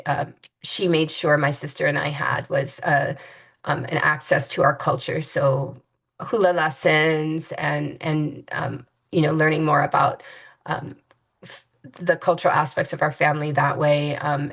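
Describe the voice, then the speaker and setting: Low-voiced woman from Hawaii, hesitant. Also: gravelly, fairly bright tone, breathy edge. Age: 50s. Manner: hesitant, many fillers and restarts. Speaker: interview guest. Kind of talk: reflective conversational interview.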